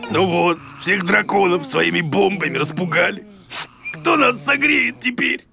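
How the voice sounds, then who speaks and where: Silly bearded cartoon creature, blustering teacher, creaky highish voice, music behind. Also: male voice, unhurried. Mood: indignant. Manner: blustering, confidently wrong, exclamatory. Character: silly excitable bearded creature teacher who knows nothing. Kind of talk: children's educational cartoon acting.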